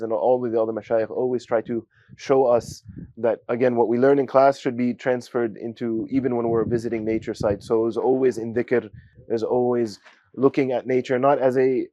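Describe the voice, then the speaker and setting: A young man from giving a student testimonial, talking haltingly with many fillers and Arabic phrases. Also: mid-range voice, mellow, no room sound, dull audio. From United States. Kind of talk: casual spoken testimonial.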